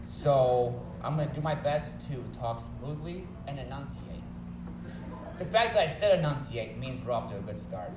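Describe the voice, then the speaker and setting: A man's voice: medium pitch, animated, normal speed, noisy background. A young man with a Chicago accent giving a joking, self-deprecating wedding speech, mumbling a little. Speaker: wedding speaker. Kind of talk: wedding toast. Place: wedding reception hall. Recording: echoey hall, from far off.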